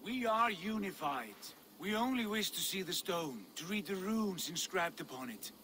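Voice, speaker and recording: A man's voice: high voice, expressive, normal speed, bright, forceful tone, poor audio. An actor playing an imperious Viking lord convinced of his own divinity, with a grandiose, commanding manner in a dramatic video game. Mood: lofty. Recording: clear ambient noise behind.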